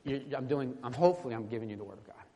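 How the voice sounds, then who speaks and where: Man, preacher, informal sermon, conversational and halting delivery, medium pitch, long hall reverb. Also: lively intonation, dark tone. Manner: conversational, halting, with repeated tag questions. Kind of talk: informal sermon with teaching asides.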